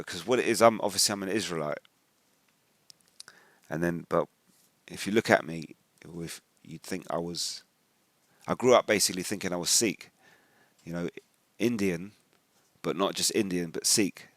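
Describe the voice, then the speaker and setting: A man with an English accent and a notably deep voice speaks in an informal commentary style. His wording is colloquial and slangy, and he slips into quoted remarks as he explains things. The sound is dry, with very little room around the voice. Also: a bit bright, no echo. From England. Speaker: religious video commentator. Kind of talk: informal spoken commentary with personal anecdotes.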